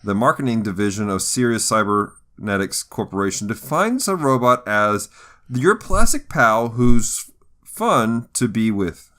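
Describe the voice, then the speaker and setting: A man's voice: on the low side, very animated, unhurried, pushed and bright. A man hosting a podcast, chatting casually in a jokey, easygoing conversational style. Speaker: podcast host. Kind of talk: casual chat.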